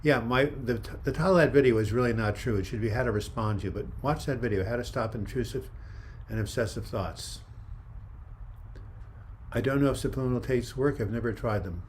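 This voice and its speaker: An older man hosting a casual live chat, reading viewer comments in a calm, soft-spoken way with frequent restarts. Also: mid-range voice, fairly quick, slight background noise. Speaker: livestream host.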